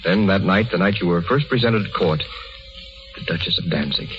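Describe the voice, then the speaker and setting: An actor playing a stoic, gallant aristocratic soldier, gentle and resigned, in 1930s radio style. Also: male voice, low-pitched, normal speed, over music, lightly acted. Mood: tender, nostalgic. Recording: muffled 1930s radio broadcast.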